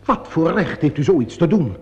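A man's voice: fairly high pitch, very animated, moderate pace, dark tone. A man acting a hot-tempered, haughty murder suspect in a radio play, blustering and emphatic with outrage. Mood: outraged. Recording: muffled, echoing old radio play recording.

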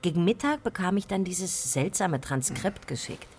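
An actress playing a composed, dryly teasing friend of the hero in a German crime radio play, talking conversationally. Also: female voice, on the low side, animated, normal speed, slight background noise, lightly acted. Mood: matter-of-fact.

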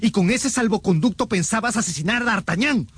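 A proud, bitter musketeer in a radio drama. His voice is fairly high and husky, with sweeping intonation. He delivers his words with stern, theatrical resolve. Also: lively intonation, fairly quick, a bit bright, no echo, exaggerated voice.

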